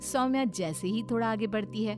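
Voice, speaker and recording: A woman reading scripted narration for an animated story. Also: a little high, fairly quick, faint music behind.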